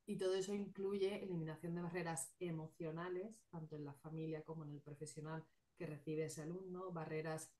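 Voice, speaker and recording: Calm low-voiced woman psychologist. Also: a little fast, hardly any pauses. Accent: non-Madrid Spanish. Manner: calm, explanatory, lists things. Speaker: psychologist and educator, guest. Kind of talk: casual interview.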